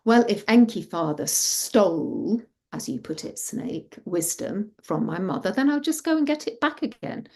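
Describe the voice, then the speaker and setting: A woman storyteller plays a young goddess from myth, sweet and eager but slyly determined. She coaxes in a girlish, hesitant way, lilting up and dropping soft. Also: medium pitch, sing-song, moderate pace, gravelly. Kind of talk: live oral myth storytelling.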